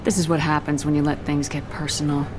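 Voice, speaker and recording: An actor playing a calm, steady commander. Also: mid-range voice, fast, background noise, close to an everyday voice.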